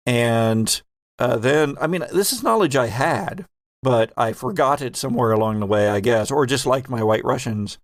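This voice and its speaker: A man hosting and game mastering a tabletop podcast, shifting between casual banter and deliberate, theatrical storytelling. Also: mid-range voice, expressive, no echo, clean studio sound. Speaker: host and game master of an actual-play podcast.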